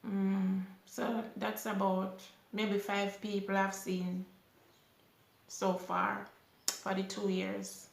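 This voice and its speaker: Young Jamaican woman vlogger, unhurried, gravelly edge, chatty Q&A in an echoey room. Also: medium pitch. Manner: unhurried, chatty, with lively rises when emphatic. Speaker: vlogger answering viewer questions. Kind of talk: casual Q&A chat. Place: small indoor room, seated on a sofa.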